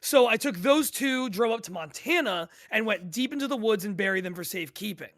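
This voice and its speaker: A man's voice: sits high, lively intonation, a little fast, fairly bright tone. A man playing a talkative, easygoing treasure hunter, telling his story in a casual, rambling way. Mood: casual, pleased. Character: talkative, easygoing treasure-hunting game player. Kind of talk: acted casual storytelling in a table read.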